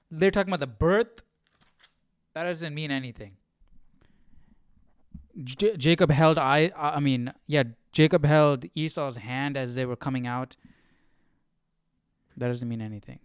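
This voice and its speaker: A man's voice: medium pitch, unhurried, long pauses, clear, resonant tone, dry recording, studio quality. A man hosting a Bible study livestream in casual chat, questioning and thinking aloud informally. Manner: informal, questioning, muttering to himself.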